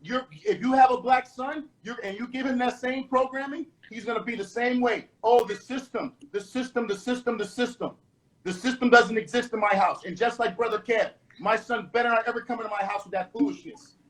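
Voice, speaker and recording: A middle-aged man from the Bahamas making forceful, preachy points in a heated panel debate, repeating himself for emphasis. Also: extremely high pitch, brisk, low-quality recording.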